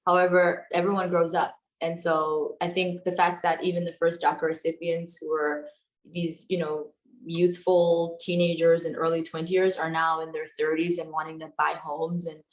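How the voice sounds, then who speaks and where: A woman's voice: fairly low pitch, level tone, moderate pace. A woman in her thirties, from South Africa, speaking reflectively and articulately in an interview. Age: 30s. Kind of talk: recorded interview testimony. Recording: roomy echo.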